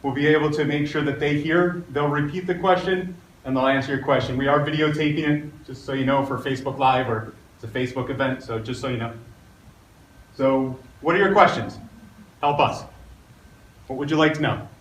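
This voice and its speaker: A man with a loud voice moderating a community meeting and introducing a panel, with frequent "uh" fillers. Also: medium pitch, brisk. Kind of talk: public meeting emcee. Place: large room at a community meeting. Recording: roomy, distant, with faint noise.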